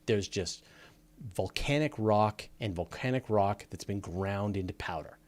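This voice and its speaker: A man with a fairly low voice speaks as the host of a live Q&A. He explains things casually and off the cuff, giving firm opinions. He leans on repeated phrases to drive his points home. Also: measured pace. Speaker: host of a live space and astronomy Q&A.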